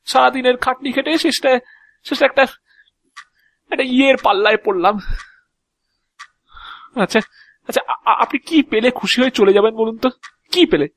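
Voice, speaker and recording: Man playing a high-pitched, flustered, stammering fellow. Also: moderate pace, pauses often, a bit bright, dry recording, exaggerated voice. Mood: desperate pleading. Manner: stammering, repeating words, pleading and protesting. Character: flustered, exasperated, anxious working man. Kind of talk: Bengali audio drama acting.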